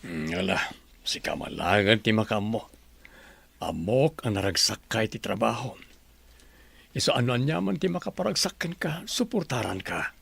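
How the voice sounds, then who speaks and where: A man's voice: fairly low pitch, lively intonation, normal speed, creaky, fairly bright tone, husky, heavily acted. A man playing a supportive, remorseful middle-aged husband and father in an Ilocano radio drama, earnest and sentimental. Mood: tender, grateful.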